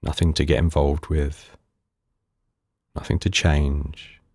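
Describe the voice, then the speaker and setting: A man leading a guided meditation in calm, unhurried, soothing short phrases with pauses between them. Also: a bass voice, slightly rough, no room sound, clean studio sound. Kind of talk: guided body scan meditation.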